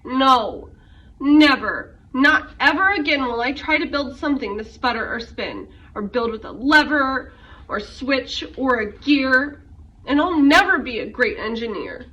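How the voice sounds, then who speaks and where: Woman being a shy little inventor girl in a picture book, doubts herself, dramatic, highish voice, distant muffled mic. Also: animated, measured pace, bright, forceful tone, full character voice. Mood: dismayed. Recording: muffled, noisy, distant microphone.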